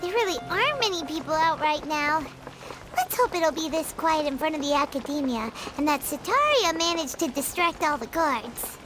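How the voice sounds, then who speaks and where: A woman voicing a small, excitable, bossy fairy companion with a squeaky childlike voice in an anime game. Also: moderate pace, rough recording. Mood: curious, uneasy. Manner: exclamatory, expressive, refers to self in third person. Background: game background music.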